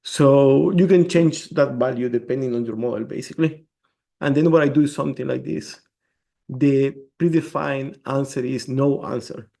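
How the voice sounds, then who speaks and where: A man walking through a software demo step by step on a screen share, often restarting his words. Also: medium pitch, normal speed, creaky. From El Salvador. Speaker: developer presenting a demo.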